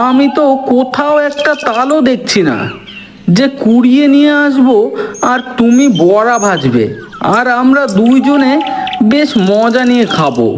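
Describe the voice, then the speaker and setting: Timid cartoon husband, male actor: very high pitch, nervous and placating. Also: slight background noise. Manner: nervous, placating, talks himself into courage.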